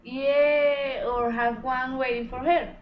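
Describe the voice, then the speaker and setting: A woman's voice: high-pitched, fairly even intonation, takes it slowly, highs cut off. Young woman as a matter-of-fact doctor, noisy recording. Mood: agreeable. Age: teenager or young adult, high school student. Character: matter-of-fact female oncologist giving serious news. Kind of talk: amateur student book dramatization. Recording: amateur recording with clear background noise.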